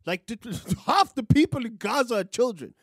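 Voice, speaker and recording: A man's voice: very high-pitched, moderate pace, no echo. A young South African man co-hosting a podcast, giving heated, profane news commentary with big hand gestures into a studio mic. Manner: animated, profane, gesturing with his hands. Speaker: podcast co-host. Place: small studio room. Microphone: studio mic on a boom, with headphones.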